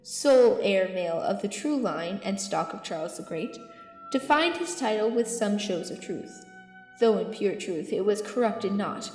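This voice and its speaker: A woman's voice: mid-range voice, a little mellow, lightly acted. A woman acting a learned, earnest archbishop in an amateur Shakespeare audio drama, with formal, declamatory delivery. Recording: echoey hall, music behind.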